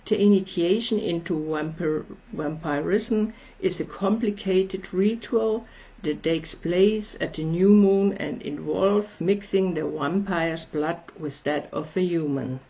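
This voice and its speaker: A woman's voice: fairly low pitch, slow, mellow. A woman with an Austrian accent voicing a polite, hungry male vampire in his prime, in a courteous, formal manner. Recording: slightly dull lo-fi audio.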